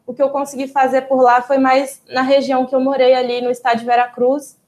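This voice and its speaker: High-voiced Brazilian woman telling a story. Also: brisk. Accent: Brazilian Portuguese. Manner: relaxed and explanatory, with frequent "é" fillers and small restarts. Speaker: interview guest, doctoral student. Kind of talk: casual interview storytelling.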